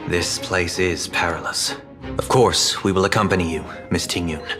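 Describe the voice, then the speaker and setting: A man voicing a courteous, composed, inquisitive gentleman in a video game, polite and formal. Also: sits low, fairly even intonation, moderate pace, natural voice. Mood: composed, resolute. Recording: music under the voice.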